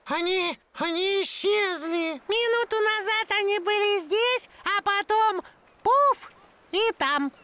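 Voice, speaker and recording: An excitable little boy penguin from a cartoon, bouncy and eager. The voice is very high and piercingly bright, with a slow delivery. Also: exaggerated voice. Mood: puzzled. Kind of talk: animated cartoon dub.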